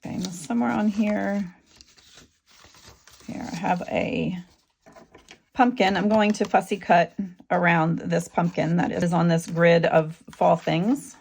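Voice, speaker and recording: Middle-aged woman, sore throat, low and gravelly, relaxed halting craft-tutorial host. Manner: relaxed, halting, with frequent ums. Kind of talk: casual craft tutorial narration.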